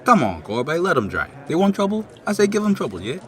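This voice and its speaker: A man's voice: a little high, huge pitch swings, quick pace. A man voicing a rowdy, hairy beast who jeers and eggs others on in a fantasy audio drama. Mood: goading. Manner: jeering, egging others on. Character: rowdy hairy ten-eyed beast heckling in a tavern.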